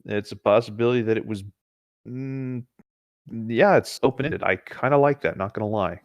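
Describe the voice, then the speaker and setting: A man's voice: medium pitch, moderate pace, no echo, lo-fi sound. A man co-hosting a horror story show, reading aloud and chatting in an informal, conversational way. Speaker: co-host reading internet horror stories. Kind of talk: casual banter and story reading.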